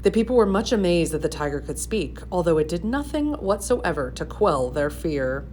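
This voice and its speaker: A woman gives an expressive storybook read-aloud. Her voice is on the lower side, and the recording carries faint room noise. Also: moderate pace. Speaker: storybook reader.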